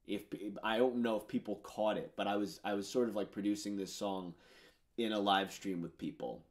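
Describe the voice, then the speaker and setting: Casting note: man, thirties, lowish voice, rambling, filler-heavy musician chatting about his record, home studio. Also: steady tone, moderate pace. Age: 30s. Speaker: musician discussing his album. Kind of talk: casual commentary on his own songs. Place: home studio with purple lighting.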